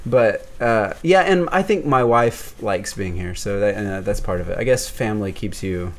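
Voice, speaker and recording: A man's voice: fairly low pitch, moderate pace. A man in his thirties from the American South, hosting a casual interview and talking in hesitant, rambling sentences with many fillers.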